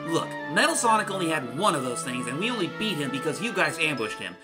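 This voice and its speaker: A man playing a cocky, quick-witted young blue hedgehog hero in a comic dub, with a breezy, teasing manner. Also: fairly high pitch, sing-song, music in the background, poor audio. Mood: determined.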